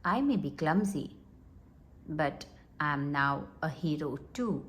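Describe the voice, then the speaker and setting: A woman reading a children's storybook aloud in an expressive, deliberate manner. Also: low-pitched, slight background noise. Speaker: storybook reader.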